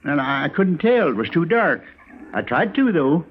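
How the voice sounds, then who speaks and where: An actor playing a nervous, simple homesteader in a radio western, with a rural American accent and a hesitant, stammering, deferential delivery. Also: male voice, on the high side, big pitch swings, moderate pace. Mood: anxious. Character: nervous, simple frontier homesteader, frightened of Indians. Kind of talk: old-time radio western acting. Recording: muffled, tinny old radio recording, faint noise.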